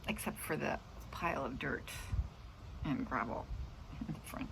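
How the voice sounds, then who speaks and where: Middle aged lady rambling about her day, pretty gravelly voice, noticeable background noise. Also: a bass voice, measured pace. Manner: meandering, trailing off into asides. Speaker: vlogger.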